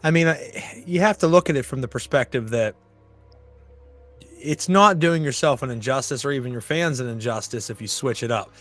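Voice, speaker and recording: A man's voice: medium pitch, moderate pace, a bit bright, soft background music. A man hosting a podcast in a casual chat, telling stories, swearing freely and acting out his own reactions. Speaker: podcast host.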